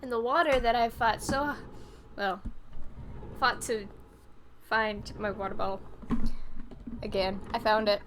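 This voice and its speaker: Slow-paced woman gamer, higher voice. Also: fairly even intonation, fairly bright tone, slight background noise. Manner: chatty, offhand asides. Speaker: gamer playing and commenting on a video game. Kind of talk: casual let's play commentary, reading game dialogue aloud.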